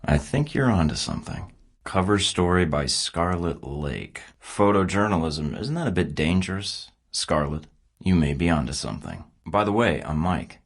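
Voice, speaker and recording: Flirty sarcastic spy dude from a game, super low husky voice. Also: male voice, big pitch swings, normal speed, exaggerated voice. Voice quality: very deep, husky voice. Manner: dry sarcastic quips. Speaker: video game protagonist voice. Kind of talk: game voice lines.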